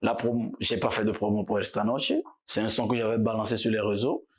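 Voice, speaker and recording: A man from Togo, a musician guest talking in a casual interview, relaxed and halting, often repeating words. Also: fairly low pitch, breathy edge.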